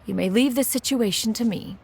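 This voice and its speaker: An actress voicing a formal, self-assured immortal expert in a video game, who speaks in an archaic way and calls herself "one". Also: female voice, a little high, fairly quick, gravelly, slight background noise. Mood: composed. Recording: soft music behind.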